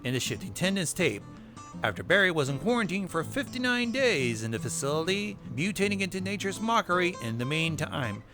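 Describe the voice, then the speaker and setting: A man's voice: high voice, very animated, brisk. A man hosting a narrated recap, with wry asides and casual swearing. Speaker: video host. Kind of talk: narrated recap of horror web series. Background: music bed.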